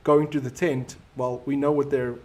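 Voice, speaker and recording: A man with a South African accent, whose first language is Afrikaans, hosting a live stream and answering viewer comments in a relaxed, reflective way. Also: mid-range voice, moderate pace, slight background noise. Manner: reflective, easygoing and conversational.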